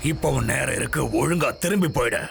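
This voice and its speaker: A man voicing a proud, commanding ape king in a theatrical Tamil cartoon dub, with loud music behind. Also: mid-range voice, quick pace, vocal fry, heavy background noise. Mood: haughty.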